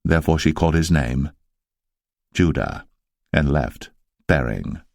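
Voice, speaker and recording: Male scripture narrator: low register, solemn, measured storytelling, close dry sound. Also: vocal fry, a little mellow, dry recording.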